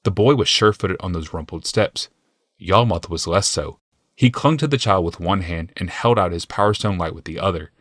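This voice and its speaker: A man's voice: low-pitched, moderate pace, a bit bright, no echo, clean studio sound. A man reading scripted, dramatic fantasy narration as an audiobook narrator.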